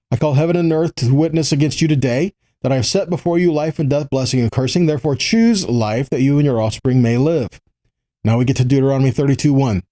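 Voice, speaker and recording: A man giving a Bible teaching, reading quotations and commenting on them, pausing to repeat key lines for emphasis. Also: medium pitch, warm tone.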